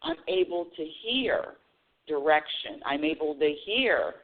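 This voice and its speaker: A woman's voice: low-pitched, big pitch swings, measured pace. A woman hosting a spiritual call-in radio show, talking earnestly and often restarting her phrases. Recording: tinny phone-line audio.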